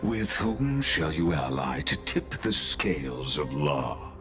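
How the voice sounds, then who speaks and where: Game villain: scheming male advisor, extremely low, drawn-out formal delivery, music underneath. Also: sing-song, full character voice. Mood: smooth, scheming. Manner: formal, deliberate, insinuating. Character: sly, obsequious male scholar-counselor, coolly scheming. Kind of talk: dramatic video game voice acting. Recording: music behind the voice.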